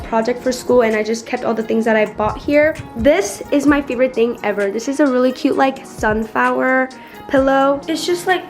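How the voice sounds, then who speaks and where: A teenage girl vlogger giving a casual, bubbly room tour, her voice still a bit raspy, with lots of "like" and "literally". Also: a little high. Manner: bubbly, chatty, says "like" and "literally" a lot. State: slightly raspy voice.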